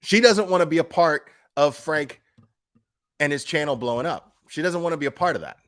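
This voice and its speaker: A man with a slightly high voice talks off the cuff like an online streamer. His delivery is blunt and emphatic, with coarse, opinionated phrasing in a casual commentary style. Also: a little fast, a bit bright. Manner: blunt, foul-mouthed, emphatic.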